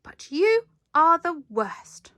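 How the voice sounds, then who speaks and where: A woman voicing an indignant television man in a storybook, scolding with huffy, deliberate emphasis. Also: very high voice, big pitch swings, fairly bright tone, no room sound, studio quality, voice pushed up, exaggerated voice. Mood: furious.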